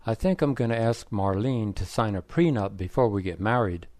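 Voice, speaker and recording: A man's voice: lowish voice, animated, moderate pace, darkish tone, dry recording, natural voice. Man reading as a matter-of-fact fiancé.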